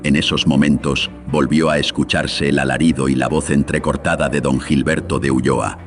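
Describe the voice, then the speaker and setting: Extremely deep-voiced male horror narrator. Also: level tone, normal speed, faint music behind. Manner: solemn, theatrical. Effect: voice processed with audio filters. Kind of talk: dramatic scripted horror story narration.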